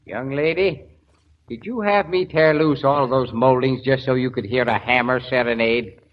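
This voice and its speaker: A man's voice: medium pitch, normal speed, natural voice. An actor playing a grumbling, reluctant but good-natured policeman in a 1970s radio drama, full of blustery, exasperated protest. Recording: dull, noisy old radio recording.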